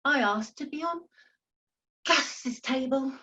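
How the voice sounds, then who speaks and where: A woman's voice: sits high, slow pace, sharp tone, lo-fi sound, heavily acted. A woman playing a haughty, tart, washed-up singer in a comedy radio play. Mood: indignant.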